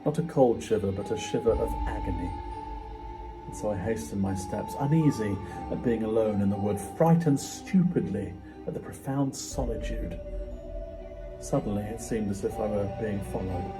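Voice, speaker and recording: A man's voice: fairly low pitch, moderate pace, close to an everyday voice, low-quality recording. Man acting a haunted, theatrical narrator over music. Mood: uneasy, haunted. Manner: theatrical, intense, swinging from hushed dread to agitation. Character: frightened, tormented man slowly unravelling, confessing his night terrors. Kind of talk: dramatic performed reading of classic ghost story. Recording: music behind the voice.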